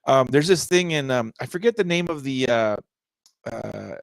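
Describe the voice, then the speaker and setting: A man's voice: medium pitch, lively intonation, normal speed, vocal fry, dry recording. A man from Southern California co-hosting a podcast, chatting casually and jokingly, with many fillers and restarts. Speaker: podcast co-host. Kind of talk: casual chat.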